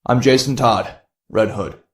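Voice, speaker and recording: A man's voice: mid-range voice, unhurried, close to an everyday voice. A man voicing a cocky, sardonic young masked vigilante antihero in a motion comic, full of quips. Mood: wry narration. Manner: sardonic, quippy. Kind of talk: motion comic narration and dialogue. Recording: echoey, roomy sound.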